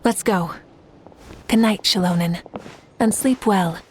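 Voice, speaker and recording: A woman playing a confident, composed female leader in a video game, measured and wry. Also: medium pitch, a little hiss or hum, natural voice. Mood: calm, caring. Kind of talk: video game character acting.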